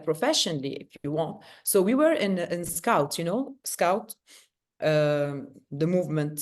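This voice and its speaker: A woman talking as an interview guest. She tells her story in a lively, animated way, with many fillers and restarts along the way. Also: mid-range voice. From Lebanon. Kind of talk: casual interview talk.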